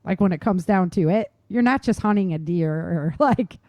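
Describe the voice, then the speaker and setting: A woman's voice: fairly low pitch, normal speed, darkish tone, no echo. Casual woman podcaster, dry close sound. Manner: informal, emphatic, laughs easily. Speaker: podcast host. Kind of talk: casual survival-tips discussion.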